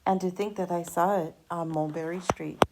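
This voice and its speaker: A woman reading a rhyming children's picture book aloud with animated, dramatic emphasis and drawn-out words. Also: lowish voice, noisy background.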